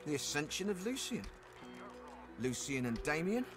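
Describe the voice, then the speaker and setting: An actor playing a solemn narrator in a fantasy game, declaiming titles formally. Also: male voice, on the high side, very animated, moderate pace, music in the background.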